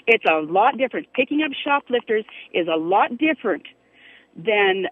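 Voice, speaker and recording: A woman's voice: medium pitch, lively intonation, moderate pace, dry recording, phone-quality sound. A woman from Alaska calling a radio show on a cell phone, indignant and challenging as she argues. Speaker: caller. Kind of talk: radio call-in argument.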